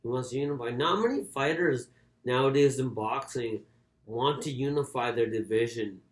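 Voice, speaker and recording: A man co-hosting a casual sports podcast, speaking haltingly and often repeating his words as he goes. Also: mid-range voice. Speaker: boxing podcast co-host. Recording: echoey, roomy sound.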